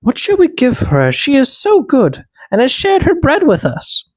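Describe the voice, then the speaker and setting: A reader putting on a tiny, high-voiced elf man. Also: wildly swooping pitch, normal speed, darkish tone, no room sound. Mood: pleased, generous. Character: three tiny magical elf men, judging and granting gifts.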